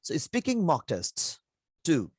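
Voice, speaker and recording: Brief: examiner, very slow and deliberate, lively pitch, dry close sound. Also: slightly rough, no room sound. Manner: slow, measured, with fillers. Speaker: examiner, teacher. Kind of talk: mock exam questioning.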